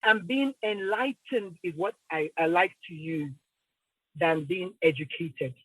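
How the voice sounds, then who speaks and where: A woman's voice: fairly low pitch, unhurried, a bit bright, husky, dry recording, rough recording. Confident Nigerian woman, dry close sound. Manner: confident, emphatic, affirming. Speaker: podcast guest, mother. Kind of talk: casual conversation.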